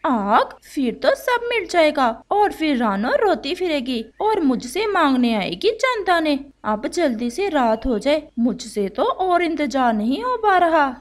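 Villainous cartoon crow wife, voiced by a woman: high, dramatic swoops, gloating and impatient. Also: very animated, measured pace, hardly any pauses. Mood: gleeful spite. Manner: gloating, eager.

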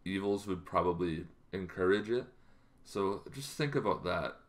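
Man in his thirties, low-pitched voice, casual explainer, halting off-the-cuff delivery, home vlog. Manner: halting, loosely improvised delivery. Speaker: tabletop roleplaying game vlogger. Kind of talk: casual explanatory talk to camera. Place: small bedroom, indoors.

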